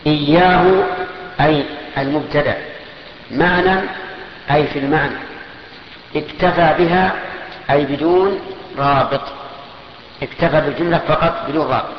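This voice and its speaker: A man giving a grammar lesson, slowly parsing each sentence step by step and asking questions that he answers himself. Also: fairly high pitch. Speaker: grammar teacher. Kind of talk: classical Arabic grammar lesson. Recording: echoey hall, dull lo-fi sound with faint noise.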